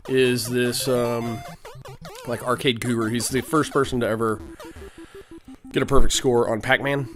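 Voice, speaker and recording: A man with a cold giving rambling, casual commentary while playing an old video game, often clearing his throat and using fillers. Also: medium pitch. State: has a cold. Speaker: let's play host. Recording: game music behind the voice.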